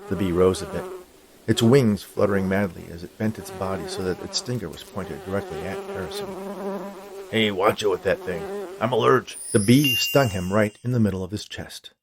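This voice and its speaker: A man's voice: low voice, a little fast, crowd noise. A man reading a scripted short story aloud as its narrator.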